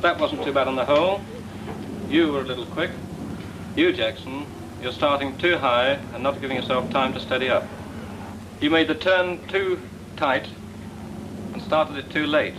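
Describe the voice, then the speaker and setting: A man with a British accent playing a flight instructor in a 1940s film, giving clipped, brisk instructions on a noisy old soundtrack. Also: medium pitch, natural voice. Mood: firm. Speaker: flight instructor leading air exercises.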